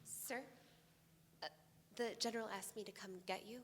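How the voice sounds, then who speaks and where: A woman's voice: a little high, steady tone, moderate pace, breathy edge. A woman playing a polite, deferential young army cadet in a live staged reading. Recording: live theatre, long reverb, faint noise.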